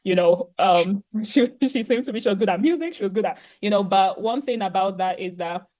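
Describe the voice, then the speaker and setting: A woman's voice: medium pitch, a little fast. Woman, panel moderator, casual and conversational, video-call sound. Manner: relaxed, conversational, many fillers. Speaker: host and moderator.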